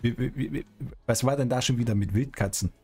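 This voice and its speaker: A man's voice: medium pitch, a little fast, a little mellow, slightly rough, faint music behind. A middle-aged man streaming an online roleplay game, chatting casually in character. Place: indoor streaming room, music behind.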